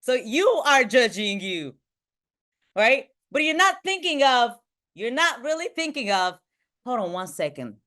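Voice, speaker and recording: A woman from Bulgaria, giving an energetic motivational talk to a group on a video call. She ends nearly every point with "right?" and her voice swings between high and low. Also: a little slow. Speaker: motivational speaker and team trainer.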